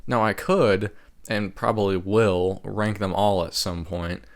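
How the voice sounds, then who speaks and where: A man's voice: lowish voice, steady tone, measured pace. A man giving scripted, opinionated ranking commentary in a wry way, as a video creator. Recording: music behind the voice. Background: game music.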